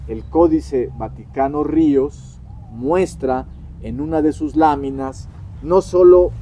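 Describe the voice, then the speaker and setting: Slow, emphatic man, higher-pitched voice. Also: slight background noise. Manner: deliberate, emphatic, pausing between short phrases. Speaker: historian-critic presenting a critique. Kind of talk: scripted lecture-like critical commentary.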